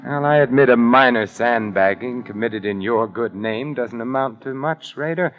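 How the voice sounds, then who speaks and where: An actor playing a suave, unflappable sleuth with urbane, dry, teasing wit, in a 1940s radio detective drama. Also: male voice, medium pitch, moderate pace, faint music behind, close to an everyday voice. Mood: needling. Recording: muffled vintage 1949 radio recording.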